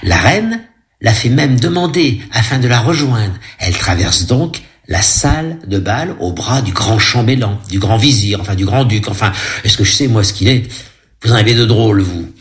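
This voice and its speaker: A man's voice: fairly low pitch, expressive, normal speed. Chatty, ironic male film describer. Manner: chatty, ironic asides to listeners. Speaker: audio describer.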